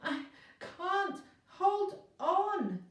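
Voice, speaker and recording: A woman's voice: very high-pitched, very animated, extremely slow, voice pushed up, full character voice. A woman plays a brave schoolboy in a storybook adventure. He is frantic and urgent, exclaiming his words. The voice sits far from the mic in a very echoey room. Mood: desperate.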